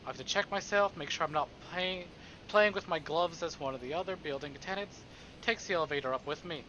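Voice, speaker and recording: A man's voice: on the high side, fairly quick, pushed and bright, dull audio, slight background noise. A man streaming a visual novel, reading it aloud theatrically with playful, casual asides.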